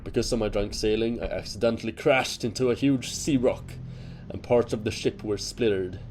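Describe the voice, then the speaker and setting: A man playing a self-deprecating seafaring monster hunter who tells his tale in a deliberate, theatrical way in an audio drama. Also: fairly low pitch, fairly even intonation, a little mellow, a little hiss or hum, close to an everyday voice. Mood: rueful storytelling. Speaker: character telling a campfire tale in an audio drama. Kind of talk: scripted dramatic storytelling. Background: music under the voice.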